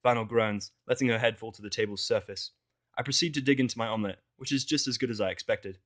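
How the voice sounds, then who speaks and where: A man's voice: mid-range voice, fast talker, fairly bright tone. A man reading a scripted first-person fan fiction story as its narrator.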